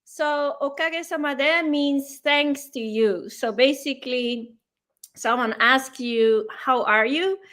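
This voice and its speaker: A woman's voice: sits high, slow, a bit bright. A woman whose first language is Dutch, a guest in a casual interview, explaining things patiently with many fillers.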